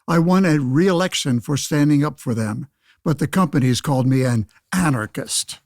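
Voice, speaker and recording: An actor playing a pompous, self-righteous 1911 state senator in a radio play, speaking in formal, declamatory phrases. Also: male voice, a little high, normal speed, no echo, studio quality, natural voice. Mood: proud, assured. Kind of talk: pseudo-radio play interview and oratory.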